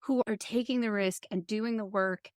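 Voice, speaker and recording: A woman's voice: mid-range voice, fairly even intonation, normal speed, no room sound, clean studio sound. A woman hosting a conversational interview podcast, speaking in thoughtful, reflective phrases. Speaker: podcast host.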